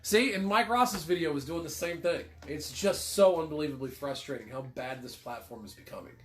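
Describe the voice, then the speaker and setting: Man, fairly high voice, stuffy with a cold, rambling livestream host, swears casually. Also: lively intonation, a little fast. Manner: rambling, swears casually, self-deprecating jokes. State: congested with a cold.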